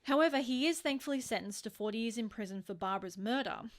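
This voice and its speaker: A woman's voice: fairly high pitch, quick pace. A woman co-hosting a podcast, telling true-crime stories in an informal, conversational way with emphatic stresses. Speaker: podcast co-host.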